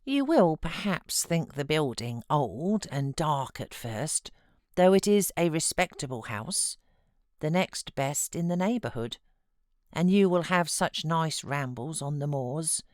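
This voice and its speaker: A woman plays a kindly British housekeeper from an audiobook. The delivery is gentle and reassuring, with coaxing, animated rises and falls, in a very dry recording. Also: sits low, slow pace, dry recording. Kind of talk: audiobook character voice.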